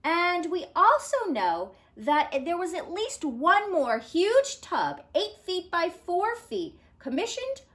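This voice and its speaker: A woman teacher giving an expressive children's read-aloud and explaining things to young listeners. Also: extremely high pitch, slow pace, hardly any pauses. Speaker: teacher reading a picture book aloud.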